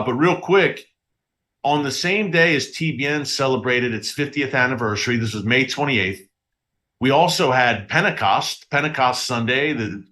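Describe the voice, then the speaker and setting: A man's voice: mid-range voice, sharp tone. A man hosting a live-streamed news commentary show from a studio, a little congested and sniffling, talking straight to his viewers with emphasis. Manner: direct address to viewers, emphatic.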